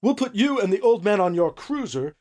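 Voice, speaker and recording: A man voicing a menacing, short-tempered woman director who gives sharp, threatening orders in an audiobook story. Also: sits high, very animated, fairly quick, pitched above the performer's own voice. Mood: cold menace.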